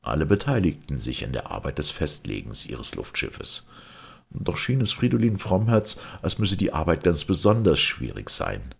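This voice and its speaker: A man with an extremely deep, husky voice reads a literary story as an audiobook narrator. His delivery is deliberate and dramatic, with lively melodic swings.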